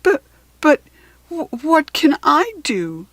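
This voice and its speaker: A woman's voice: high voice, sing-song, takes it slowly, fairly bright tone, dry recording, pitched above the performer's own voice, heavily acted. A woman voicing a big, obliging mother crocodile in a storybook, emotional and exclaiming. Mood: weeping.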